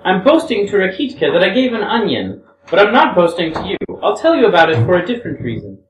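A man's voice: sits high, wildly swooping pitch, quick pace, highs cut off, background noise, voice pushed up. A man voicing a proud, nervous young woman who talks heatedly and accuses herself. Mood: heated, confessing. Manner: heated, hysterical pleading. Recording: echoey room, far from mic.

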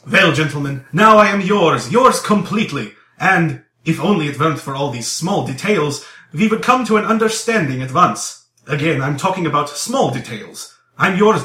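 A man's voice: a little high, pushed and bright, far from the mic, voice pushed up. A man voicing a desperate, effusive young man under arrest in an audiobook, exclaiming, pleading and repeating his words. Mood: eager, insistent. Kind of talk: dramatized audiobook character dialogue. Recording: roomy echo.

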